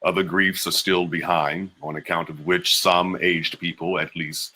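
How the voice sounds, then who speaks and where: Man, very low voice, forceful tone, slow deliberate reading of an old memoir. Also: little pitch movement. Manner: measured, deliberate delivery with slowed emphases. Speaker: reader. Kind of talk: dramatic reading of an 18th-century memoir.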